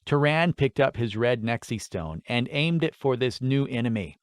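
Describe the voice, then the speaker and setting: A man's voice: mid-range voice, expressive, normal speed, dry recording, studio quality. A man narrating a scripted fantasy story as an audiobook reader.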